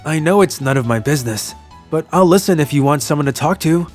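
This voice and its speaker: A man playing a kind, lovelorn young traveller in an English manga dub, narrating his story and speaking in an earnest, soft-hearted way. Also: fairly high pitch, lively intonation, fast talker, soft background music. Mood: earnest, concerned.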